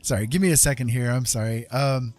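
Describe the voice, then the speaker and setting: A man's voice: medium pitch, a little fast, darkish tone, soft background music. A man livestreaming in a casual, conversational way, explaining things to his viewers. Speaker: streamer.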